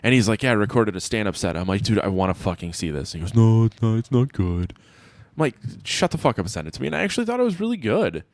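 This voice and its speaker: A man's voice: fairly low pitch, big pitch swings, fast, no echo. A man with a Wisconsin accent hosting a podcast, chatting with crude, teasing humor. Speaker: podcast host. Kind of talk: casual chat.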